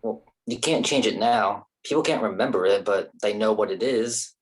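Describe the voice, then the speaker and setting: A man playing a blunt, nitpicking questioner with a husky voice in a naturalistic staged play reading. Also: fairly low pitch, normal speed, low-quality recording. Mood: blunt, questioning.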